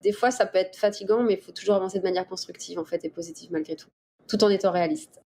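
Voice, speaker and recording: A middle-aged woman from the Paris region answering interview questions in a casual, explanatory way with hesitant pauses. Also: medium pitch, fairly even intonation, mellow. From Paris region, France. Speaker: interview guest, dolphin researcher. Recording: clear background ambient noise.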